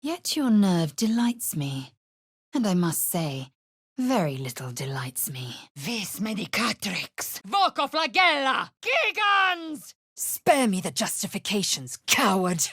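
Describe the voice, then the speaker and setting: A woman voicing a fierce, proud githyanki warrior in a video game, imperious and clipped in manner, with a husky, breathy voice. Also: mid-range voice, big pitch swings, slow pace, a bit bright, no echo, clean studio sound, full character voice. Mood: haughty, then shouted battle incantation.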